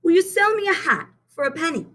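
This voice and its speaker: A woman's voice: extremely high pitch, sing-song, fairly bright tone, pitched above the performer's own voice, heavily acted. A woman doing an eager little boy. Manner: polite, asking quickly.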